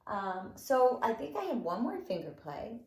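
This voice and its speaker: A woman with a high, very animated voice leads nursery rhymes and finger plays for young children. She is playful and encouraging, with a sing-song delivery. The sound is roomy and echoing. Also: unhurried. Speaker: nursery school teacher.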